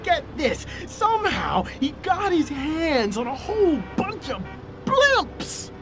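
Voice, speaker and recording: A man voicing a nervous but mellow, gossipy hippie stoner informant in a video game, with a slangy drawl. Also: very high voice, wildly swooping pitch, slow pace, full character voice. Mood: conspiratorial. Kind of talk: video game cutscene voice acting.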